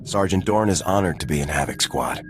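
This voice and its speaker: A man playing a composed male squad commander with a calm, dry manner in a video game. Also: deep voice, a little fast, a little hiss or hum. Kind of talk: video game cutscene acting.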